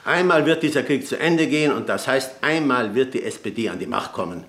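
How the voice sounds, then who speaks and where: An actor playing a dry, pragmatic, ironic politician and confidant in a radio drama. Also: male voice, medium pitch, expressive, a little fast, a bit bright, roomy sound, lightly acted. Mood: cynical, matter-of-fact. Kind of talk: German radio drama dialogue.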